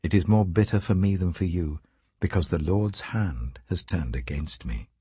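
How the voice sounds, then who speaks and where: A man's voice: low-pitched, normal speed, dark tone, husky, dry recording. A man voicing an older widowed mother in an audiobook, weary, bitter and tender, with a British accent and a grave, pleading manner.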